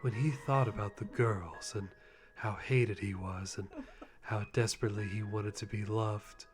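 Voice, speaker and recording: A man's voice: fairly low pitch, fairly even intonation, measured pace. A man giving a dramatic live reading of fan fiction in a mock-serious way, often close to laughing. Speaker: channel host reading a fanfic. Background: background music.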